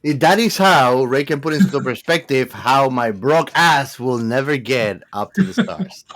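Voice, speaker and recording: A man with a medium-pitched voice on a podcast panel. He talks casually and conversationally, with a wry, joking edge. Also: lively intonation, unhurried, a bit bright. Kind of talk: casual chat.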